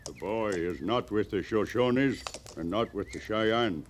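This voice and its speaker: A man's voice: fairly low pitch, animated, a little slow. An actor playing a weary, proud Cheyenne band leader and bereaved father in a radio western, speaking solemnly in formal, simple phrases. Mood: calm, watchful. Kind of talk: 1950s radio western acting. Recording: noisy vintage radio western recording.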